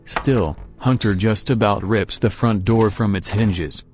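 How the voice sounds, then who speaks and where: Lower-voiced man narrating a story. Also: a little slow, darkish tone, soft background music.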